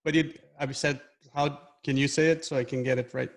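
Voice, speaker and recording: Brazilian man, slightly high voice. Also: steady tone, fairly quick, vocal fry. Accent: Brazilian Portuguese. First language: Portuguese. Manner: playful, joking about English spelling. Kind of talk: casual chat on a video call. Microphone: video call.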